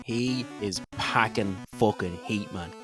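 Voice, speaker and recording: A man co-hosting a role-play podcast, bantering casually and excitably, swearing and repeating himself for emphasis. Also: lowish voice, slow. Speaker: podcast co-host and player in an audio role-play. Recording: music bed clearly under voice.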